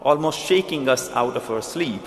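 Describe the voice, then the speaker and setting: A man's voice: medium pitch, expressive, faint music behind. Indian-accented man preaching in an echoey church. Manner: earnest, measured preaching with restarts. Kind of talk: sermon. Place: large church hall. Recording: echoey church hall with long reverb.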